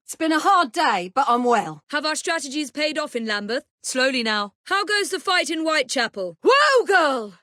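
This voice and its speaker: A woman voicing a confident young Victorian English assassin and gang leader in a video game, crisp, commanding and wryly polite. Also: sits high, very animated, moderate pace, hardly any pauses, pushed and bright, no room sound, studio quality, exaggerated voice. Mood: composed, businesslike, then urgent shouting.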